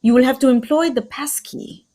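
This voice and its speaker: A woman playing a shrewd, polite Belgian male detective with a put-on Belgian French accent, formal and deliberate, in an old-time radio mystery style. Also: sits high, dark tone. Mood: measured.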